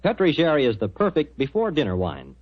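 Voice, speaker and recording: Animated American 1940s radio announcer. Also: male voice, on the high side, quick pace. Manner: chummy, emphatic salesmanship. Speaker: radio announcer and sponsor's pitchman. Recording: muffled 1940s radio broadcast.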